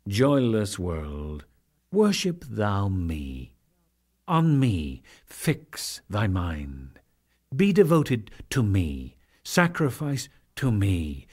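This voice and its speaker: A man performing a solemn divine lord in a dramatic scripture reading, declaiming in measured phrases with deliberate pauses. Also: mid-range voice, darkish tone, full character voice. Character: Krishna, solemn divine lord teaching a devotee.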